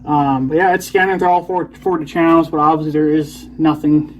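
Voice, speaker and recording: A man's voice: on the high side, little pitch movement, a little fast, slight background noise, low-quality recording. A man casually demonstrating gadgets as a video blogger, rambling and thinking aloud as he goes.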